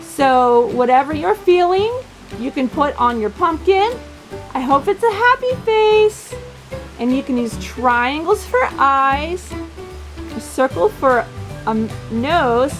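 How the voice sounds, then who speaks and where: Female children's storytime host. High voice with big melodic swings, expressive delivery pitched at little kids. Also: a little slow, soft background music. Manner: expressive, simple phrasing addressed to young children. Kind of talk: children's storybook reading and craft chat.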